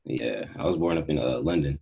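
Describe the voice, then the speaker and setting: Very deep husky young man, laid-back. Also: brisk, muffled recording. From Houston, Texas. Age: young adult. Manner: laid-back, slangy, trailing phrases. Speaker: podcast guest. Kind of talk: casual chat.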